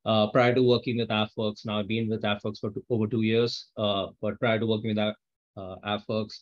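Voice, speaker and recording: A man introducing himself as a course facilitator, halting, with many fillers. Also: lowish voice, flat delivery, highs cut off. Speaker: learning facilitator. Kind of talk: self-introduction.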